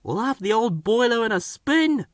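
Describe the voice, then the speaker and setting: A man's voice: very high-pitched, sing-song, normal speed, no room sound, studio quality, pitched above the performer's own voice. A man voicing cheeky, mischievous young twin engines in a children's storybook, with a rude, whining manner.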